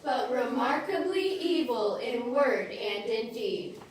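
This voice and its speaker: Echoey high-voiced teenage girl narrator. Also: animated, slow pace. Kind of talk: rhymed storytelling for readers theater. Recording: echoey hall, distant mic, faint noise.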